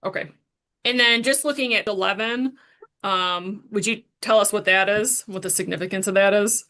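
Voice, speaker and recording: Bright-voiced woman attorney questioning. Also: mid-range voice, moderate pace. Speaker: prosecuting attorney questioning a witness. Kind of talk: formal courtroom questioning.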